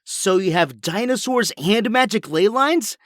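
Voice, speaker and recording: A man's voice: sits high, expressive, measured pace, fairly bright tone, no room sound, studio quality. A man playing an earnest, brainy young hero with a geeky, kindly manner in an audio drama. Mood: curious, impressed.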